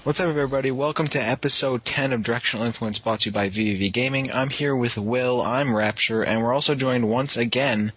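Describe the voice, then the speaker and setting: A man's voice: mid-range voice, steady tone, brisk, few pauses, a bit bright, lo-fi sound, a little hiss or hum. A man hosting a podcast in a casual, conversational style. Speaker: podcast host.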